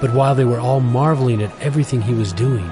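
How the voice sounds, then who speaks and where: A man narrating scripture from a script as a measured storyteller. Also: mid-range voice. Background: music.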